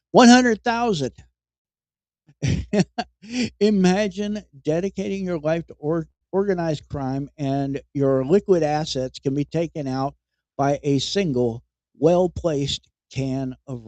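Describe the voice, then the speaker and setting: Male podcast host, slow and wry, mellow tone, dry close mic. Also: on the high side, no room sound. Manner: wry and mocking, chuckles at his own jokes; halting, repeats words as he goes. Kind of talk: comedic news commentary.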